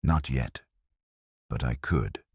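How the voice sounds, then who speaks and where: A man's voice: extremely low pitch, lively intonation, slow, husky, no echo, studio quality, voice pushed down, heavily acted. A man voicing a self-important, knowing female librarian in a storybook mystery.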